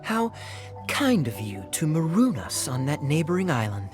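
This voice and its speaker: A suave young knight captain, speaks with playful, knowing mockery. His voice moves lively up and down as he needles his companions. Faint music sits beneath the game dialogue. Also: fairly high pitch, moderate pace. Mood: teasing. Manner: teasing, wry and playful. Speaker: game character.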